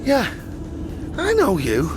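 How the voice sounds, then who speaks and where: A man's voice: high voice, huge pitch swings, slow pace, background noise, heavily acted. A man acting a suspicious, edgy gang member in a comedy radio play.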